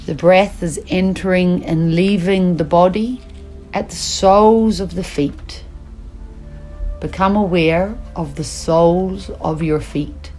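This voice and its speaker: A woman teaching yoga nidra, guiding a relaxation meditation in slow, soothing instructions with long pauses. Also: on the low side, a little mellow.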